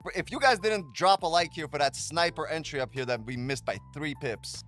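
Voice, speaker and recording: A man's voice: a little high, a little fast, a bit bright, faint music behind. A man hosting a day-trading livestream, chatting casually, joking and swearing often. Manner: joking, profane, laughs often.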